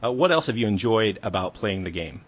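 A man's voice: on the low side, lively intonation, brisk. A man hosting a casual podcast interview, asking friendly, upbeat questions with some fillers. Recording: dull lo-fi remote audio.

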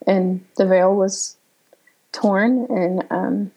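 A woman in her thirties from Pennsylvania, a writer guest who talks reflectively with frequent pauses and fillers. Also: medium pitch, steady tone, mellow, a little hiss or hum, rough recording. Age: 30s. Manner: reflective, halting, many um fillers. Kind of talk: casual interview talk.

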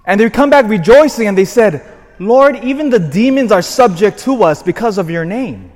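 A man in his forties gives a sermon as parish priest, his voice pitched high and moving freely. He leans hard on some lines and drops quietly on others. A long reverb from the church trails every phrase. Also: moderate pace. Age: 40s. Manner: animated, swings from shouted emphasis to quiet asides.

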